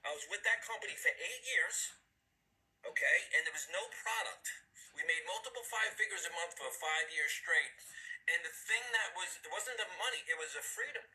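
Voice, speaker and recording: A man with a New York accent, a former police officer and firefighter, telling his story on a video call with animated storytelling. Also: on the high side, moderate pace, low-quality recording. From New York City, USA. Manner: animated storytelling, acts out quoted voices. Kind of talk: motivational testimonial.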